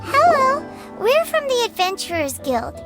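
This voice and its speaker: Tiny perky fairy sidekick for an anime game: very high, squeaky, bouncy intonation. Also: female voice, sing-song, unhurried, over loud music, exaggerated voice. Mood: cheerful greeting. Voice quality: squeaky, childlike. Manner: perky, eager. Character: tiny cheerful childlike floating fairy girl companion.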